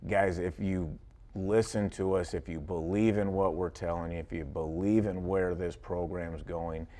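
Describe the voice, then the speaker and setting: A man's voice: low voice, steady tone, measured pace, dark tone. A man with an American accent, a football coach talking conversationally in a sports interview, with folksy wording and repeated phrases.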